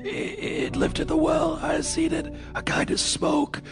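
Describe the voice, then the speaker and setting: A raving dying farmer. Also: male voice, extremely high pitch, steady tone, unhurried, heavily acted. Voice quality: gravelly, rasping. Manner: broken, stammering, cracked raving. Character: dying farmer crumbling from alien blight, raving. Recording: radio drama with music underneath.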